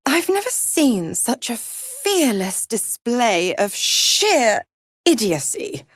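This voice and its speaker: Seductive video game devil, scheming, sardonic, condescending, unhurried theatrical drawl, swooping intonation, close dry studio sound. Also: female voice, medium pitch, no room sound. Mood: scornful. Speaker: video game character actor.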